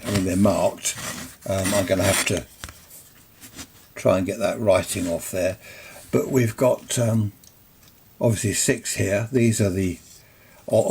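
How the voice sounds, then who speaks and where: A man's voice: fairly low pitch, darkish tone. A British man giving a casual, chatty explanation, often asking himself questions and answering them. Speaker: amateur radio video presenter. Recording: slightly distant microphone.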